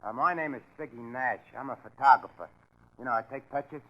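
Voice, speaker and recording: A man's voice: mid-range voice, a little fast, natural voice. Dull vintage radio, male street photographer played by an actor, chatty, breezy, slangy, wisecracking, 1940s crime drama. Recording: muffled 1940s radio recording.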